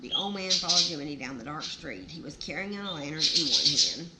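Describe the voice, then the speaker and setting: A woman's voice: sits low, lively intonation, fairly quick, very noisy. A woman reading a children's storybook aloud, with folksy, exclaiming asides to the listener. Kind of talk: animated storybook read-aloud with chatty asides.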